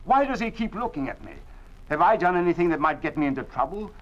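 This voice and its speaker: An actor playing an anxious middle-aged father in a 1950s radio drama, earnest and halting, often repeating words. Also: high voice, very animated. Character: worried middle-aged family father under a regime. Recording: dull old 1950s radio recording.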